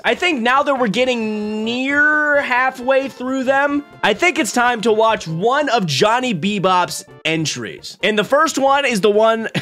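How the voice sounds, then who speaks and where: A man's voice: high voice, bright, forceful tone. A man in his twenties streaming from a small room at home into a desk mic, joking energetically and laughing often. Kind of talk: casual live-stream commentary. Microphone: desk mic on boom arm.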